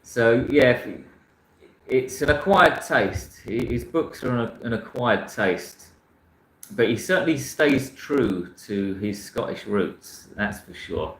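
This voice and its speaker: A man's voice: mid-range voice, expressive, slow pace, fairly bright tone, echoey room, poor audio. A man from South London chatting casually about books, rambling and frank, often restarting his sentences. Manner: rambling, self-correcting, frank. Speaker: book channel host. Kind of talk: casual chat reading viewer comments.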